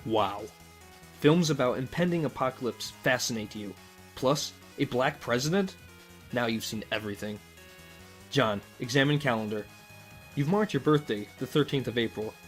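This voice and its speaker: A man's voice: medium pitch, moderate pace, faint music behind. A man reading scripted comic narration in the second person, with a wry, mock-formal manner.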